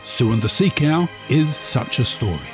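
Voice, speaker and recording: A man's voice: mid-range voice, animated, normal speed, music in the background. A man presenting as a radio announcer with scripted, mock-epic promo narration.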